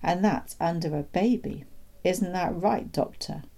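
A proud country father with a sly streak, voiced by a woman reading a storybook. He speaks cheerfully and confidingly, in a mellow tone with little edge. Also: fairly low pitch, unhurried, creaky. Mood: sly, pleased. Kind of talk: children's storybook read aloud.

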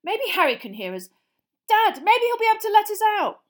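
A woman voicing an amiable middle-aged wizard father for a storybook, vague, excitable and apologetic. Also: very high voice, fairly quick, voice pushed up. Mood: flustered.

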